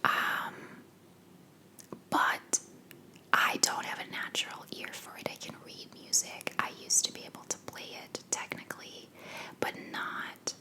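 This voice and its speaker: A young woman from Belgium with an American accent, whispering answers to viewer questions in a rambling ASMR Q&A at home on a sensitive microphone. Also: measured pace. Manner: soft unvoiced whisper, rambling with fillers. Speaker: ASMR creator. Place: home living room.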